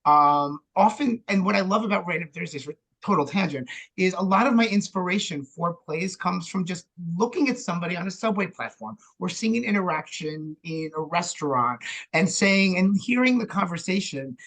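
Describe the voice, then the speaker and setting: American guy with a fairly high voice, casual podcast chat, animated, kinda thinks out loud in bits. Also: normal speed, poor audio. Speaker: actor, director and playwright, podcast guest. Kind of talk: casual conversational interview.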